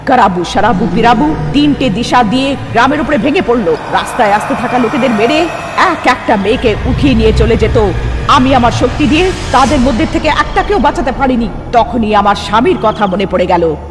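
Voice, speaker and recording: A woman's voice: on the high side, lively intonation, fairly quick, close to an everyday voice. A woman playing a distressed young sorceress, narrating her ordeal in the first person in an earnest, dramatic cartoon style. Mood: grave, dramatic. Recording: long reverb under loud music.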